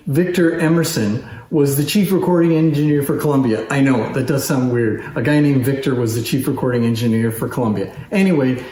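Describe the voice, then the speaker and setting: A man's voice: medium pitch, a little mellow. A man giving a casual, unscripted show-and-tell in a conversational, enthusiastic way, as a presenter of antique machines. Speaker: antique phonograph collection presenter. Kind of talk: casual unscripted demonstration talk. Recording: roomy echo.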